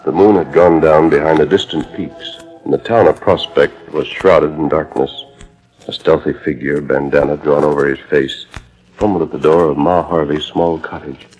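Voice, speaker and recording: A man's voice: extremely low pitch, fairly even intonation, normal speed, a little mellow, muffled recording, soft background music. A man with an American accent narrating a western as a 1940s radio announcer, in a grand declamatory style. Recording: old 1940s radio transcription disc.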